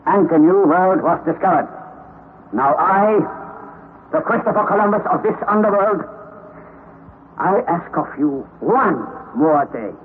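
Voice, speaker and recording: An actor playing a stubborn, grandiose elderly professor-explorer, declaiming in a vintage radio drama. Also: male voice, high-pitched, expressive, unhurried, pauses often. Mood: commanding. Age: older. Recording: tinny old radio broadcast, faint hiss.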